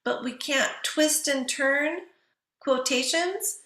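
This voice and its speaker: A woman's voice: sits high, slow pace, pushed and bright, roomy sound. A woman giving an instructional writing lecture in a didactic way, posing questions to listeners and answering them herself.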